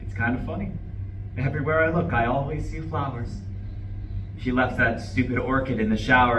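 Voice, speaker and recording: Echoey stage reading: male actor as irritable, heartbroken divorcé, forceful and highly animated. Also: lightly acted. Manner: faltering, sighing, swinging into bitter outbursts. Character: distraught, irritable, newly divorced man at a bus stop. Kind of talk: live stage play reading. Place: indoor room at a live reading. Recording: echoey room, distant mic, faint noise.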